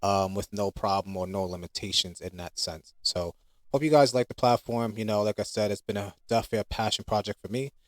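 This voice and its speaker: Male, low-pitched, informal app creator talking through a product demo, close dry sound. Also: steady tone, a little fast, dry recording. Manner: informal, conversational. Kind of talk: casual unscripted screen demo talk.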